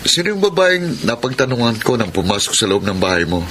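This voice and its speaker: An actor playing a friendly, helpful tricycle driver in a Filipino radio drama, with outdoor effects behind him. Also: male voice, mid-range voice, very animated, a little fast, crowd noise, natural voice. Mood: friendly, curious.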